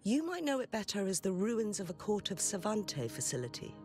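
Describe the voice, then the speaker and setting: An actress playing a dignified retired noblewoman in a game, courteous and formal, with measured, calm authority. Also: medium pitch, music in the background, close to an everyday voice. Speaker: game character. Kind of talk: scripted game dialogue.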